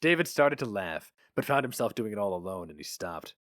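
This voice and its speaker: A man's voice: on the low side, very animated, fairly quick, fairly bright tone, no echo. Male story narrator, very dry sound. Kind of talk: dramatic scripted story narration.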